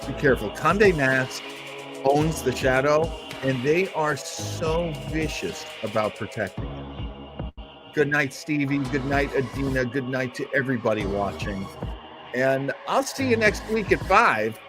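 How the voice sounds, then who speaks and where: A man's voice: mid-range voice, animated, measured pace. A man hosting his own show, chatting casually in a rambling, self-deprecating way. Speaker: podcast host. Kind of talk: casual chat. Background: music.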